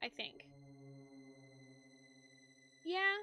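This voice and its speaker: A woman from the United States, chatty and expressive, livestreaming as she talks with viewers and reads game narration aloud. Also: extremely slow. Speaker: game streamer. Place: indoors, game livestream with music behind.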